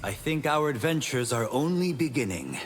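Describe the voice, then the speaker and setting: An actor playing an earnest, dutiful young warrior champion speaking sincerely in a video game. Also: male voice, mid-range voice, big pitch swings, moderate pace, husky. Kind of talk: video game fight banter. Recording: reverberant game audio with background noise.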